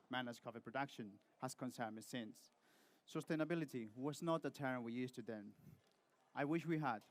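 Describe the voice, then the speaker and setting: A man from Peru delivers a rehearsed barista competition routine to a judging panel. His delivery is measured and steady, with short pauses between phrases, and his voice sits at an ordinary middle pitch. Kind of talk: scripted competition presentation to judges.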